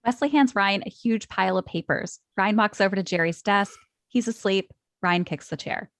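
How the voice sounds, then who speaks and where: A woman's voice: medium pitch, moderate pace, a bit bright, no room sound, studio quality. A woman reading screenplay stage directions as scripted narration.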